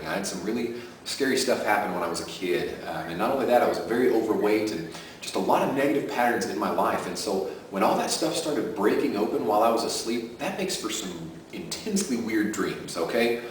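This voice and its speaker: A man with a deep voice pitching his sleep audio program in a chatty way and often adding "okay?" to his points. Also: a little hiss or hum. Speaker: self-help audio creator. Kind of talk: casual direct-to-camera pitch. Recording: roomy echo from a distant mic.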